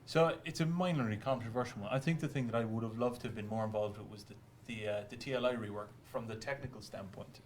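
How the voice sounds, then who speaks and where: A man with a slightly low voice talks at a fast clip in casual panel chat. There is faint noise and room ambience behind him, as on a live stream. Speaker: game developer on a discussion panel.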